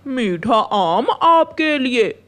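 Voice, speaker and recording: A woman's voice: on the high side, sing-song, very slow, fairly bright tone, heavily acted. A woman voicing a flirtatious, bossy male crow husband in a cartoon story, with an emphatic, wheedling delivery. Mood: flirtatious, coaxing. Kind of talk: Hindi cartoon story acting.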